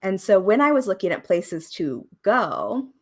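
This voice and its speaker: A woman in her twenties from Oregon, a podcast producer, talking in a relaxed, rambling way with fillers and small laughs. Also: medium pitch, a little slow. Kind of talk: casual conversation interview.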